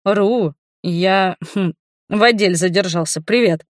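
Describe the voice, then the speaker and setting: A woman's voice: medium pitch, a little slow, no echo, clean studio sound, lightly acted. A woman voicing a blunt, jaded, commitment-shy man with a curt manner in an audiobook. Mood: concerned. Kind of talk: audiobook character voice.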